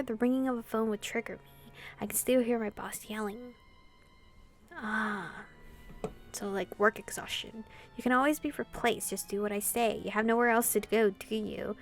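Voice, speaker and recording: A woman gamer reading a visual novel aloud expressively, in the style of a casual playthrough. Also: on the high side.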